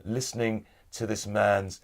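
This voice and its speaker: A middle-aged man, an audiobook narrator, talking casually in a studio interview, thoughtful and halting, often repeating a word as he restarts. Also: lowish voice, level tone. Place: recording studio.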